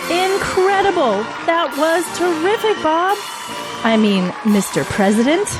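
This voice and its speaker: A woman's voice: very high voice, sing-song, unhurried, over music, full character voice. A woman acting in an audio drama as a busy, earnest political campaign manager and single mother. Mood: upbeat, confident.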